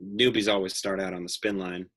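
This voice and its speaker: A man's voice: low-pitched, flat delivery, brisk, fairly bright tone. An actor in a play reading, playing an easygoing young factory man with a folksy, plain-spoken manner. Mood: helpful, easygoing.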